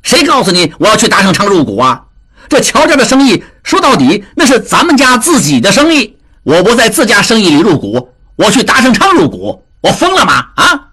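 A man voicing a hot-tempered middle-aged man who shouts and stammers in anger. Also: mid-range voice, huge pitch swings, normal speed, slightly rough, full character voice. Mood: angry. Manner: shouting, flustered, swearing.

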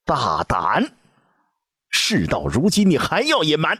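A furious old empress dowager from a storybook drama, put on by a male narrator. Her commanding voice sits high and husky, leaping sharply between lines. The sound is dry and close. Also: big pitch swings, normal speed, darkish tone, no room sound. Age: older. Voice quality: commanding, scolding.

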